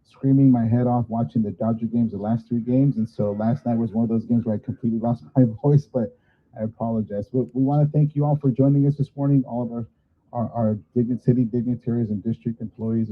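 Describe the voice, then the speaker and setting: A hoarse man hosting a school ceremony in a casual, apologetic way with many fillers. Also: mid-range voice, steady tone, fast talker. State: hoarse, losing his voice. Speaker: master of ceremonies at a school event. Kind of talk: informal event hosting. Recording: tinny phone-like sound.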